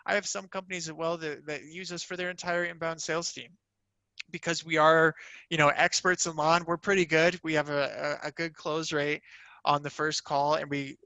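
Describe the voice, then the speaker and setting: Bright, higher-voiced man pitching a product. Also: level tone, moderate pace, clear, resonant tone, no room sound, rough recording. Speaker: company sales representative presenting a product. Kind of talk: conversational sales webinar presentation.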